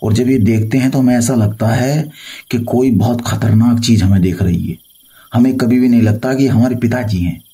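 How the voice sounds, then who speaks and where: Man, from India, tantric guru, dark creaky voice, authoritative, boastful, reassuring, phone consultation. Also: mid-range voice, normal speed. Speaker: tantric guru advising a caller.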